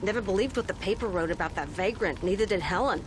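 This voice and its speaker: A woman's voice: medium pitch, lively intonation, fast talker, music in the background, close to an everyday voice. A woman acting as a plainspoken, wry small-town American local in a video game, with noisy ambience behind her. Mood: suspicious. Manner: folksy, wry.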